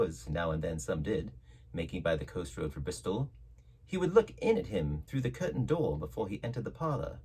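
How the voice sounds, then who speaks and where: A man reading a classic story aloud as a narrator, with theatrical, measured phrasing and dramatic delivery. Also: fairly low pitch, breathy edge. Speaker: audiobook narrator.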